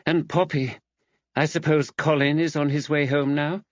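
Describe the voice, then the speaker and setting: A man's voice: on the high side, steady tone, moderate pace, dry recording, pitched above the performer's own voice, close to an everyday voice. A man voicing a bossy, catty village woman with a blunt, probing manner in an audiobook, on slightly dull audio.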